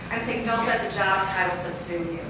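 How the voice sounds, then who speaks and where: A woman in her forties from Missouri giving a loud, chatty, animated talk at a conference. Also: medium pitch, a little fast. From Jefferson City, Missouri. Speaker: conference speaker. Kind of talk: motivational talk. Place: large echoey conference hall. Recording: echoey hall, distant mic, noisy.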